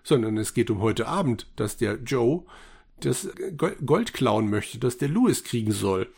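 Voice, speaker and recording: A man with a mid-pitched, rather raspy voice co-hosts a podcast. He recaps and reviews in a relaxed conversational style, as in an informal chat. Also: a little slow. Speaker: podcast co-host.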